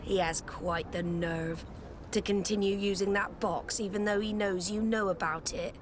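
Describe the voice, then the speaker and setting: Game role, actress: proud female naval commander, firm formal delivery, medium pitch, audible outdoor noise underneath. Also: steady tone, normal speed, close to an everyday voice. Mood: vengeful. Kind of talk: video game cutscene acting. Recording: noisy outdoor ambience behind the voice.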